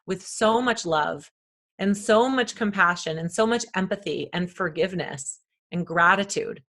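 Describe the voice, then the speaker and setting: A woman guest on a wellness podcast who talks loudly and emphatically, sharing personal stories and affirming the host. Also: mid-range voice, unhurried. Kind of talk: conversational wellness talk.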